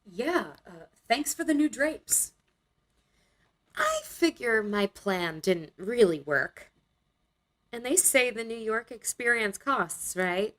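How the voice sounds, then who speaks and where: A woman plays a wry young punk-scene storyteller in a motion comic. Her voice is high and swings widely as she narrates with dry, dramatic pauses. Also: very animated, fairly bright tone, exaggerated voice. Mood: dry, deadpan. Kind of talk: scripted first-person storytelling in a motion comic.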